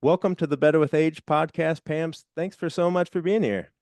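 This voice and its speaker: A man's voice: on the high side, fairly even intonation, normal speed, a little mellow, slight background noise. A middle-aged man hosting a podcast interview in a friendly way, with the odd "uh" and "um". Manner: friendly, with fillers like "uh" and "um". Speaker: podcast host. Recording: dry close mic, faint music.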